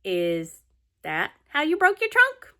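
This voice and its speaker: An excitable young female pig from a children's storybook, acted out by a woman, full of dramatic curiosity. The voice is bright and forceful, leaps around in pitch, and still takes its time. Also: slow pace, a little hiss or hum, exaggerated voice. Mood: puzzled. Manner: exclamatory, dramatic questioning.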